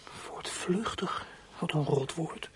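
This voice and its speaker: An actor playing a sullen fourteen-year-old runaway boy in a radio play, muttering bitter thoughts to himself in a husky, breathy voice. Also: mid-range voice, slow pace, a little hiss or hum, lightly acted. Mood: nervous, resentful. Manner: brooding inner monologue, muttering to himself.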